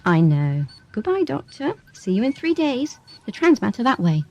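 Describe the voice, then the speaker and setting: A woman playing a brisk, sardonic space station doctor in an audio drama, dry and assured. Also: on the high side, big pitch swings, a little mellow, highs cut off. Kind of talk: radio drama acting. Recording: hissy amateur 1980s audio drama tape.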